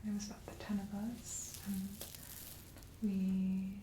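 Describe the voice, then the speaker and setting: A young woman talks in a soft, mellow whisper, unhurried and reflective. Her pitch stays flat and even. The recording has an echoey room sound with a faint hiss. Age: young adult. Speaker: guest. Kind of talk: ASMR whispered chat.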